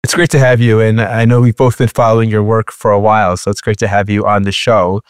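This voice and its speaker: A man hosting a podcast, reading a measured, announcer-like introduction and then interviewing. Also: lowish voice, little pitch movement, smooth, no echo, studio quality. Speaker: podcast host.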